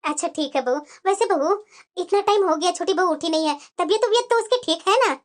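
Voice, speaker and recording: A woman voicing a gentle older mother-in-law in a homemade family skit, speaking slowly and softly in a lowered voice. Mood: agreeable.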